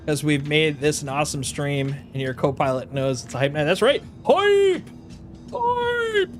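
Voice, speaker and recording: A man's voice: a little high, measured pace, soft background music. A game streamer from Wisconsin, a man with a played-up Upper Midwest accent and a slightly nasal voice, chatting casually and joking often with folksy exclamations. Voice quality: a little nasal. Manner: joking and animated, laughs often, slips into exaggerated folksy exclamations. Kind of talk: casual live-stream chat.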